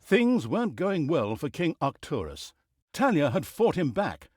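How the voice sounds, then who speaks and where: A man's voice: fairly high pitch, very animated, unhurried, a little mellow, breathy edge, no echo, studio quality, heavily acted. An actor playing a wry, mocking male storyteller in a fantasy video game, narrating in a theatrical, mock-grand manner with sardonic, teasing asides. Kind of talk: scripted storybook-style comic fantasy narration.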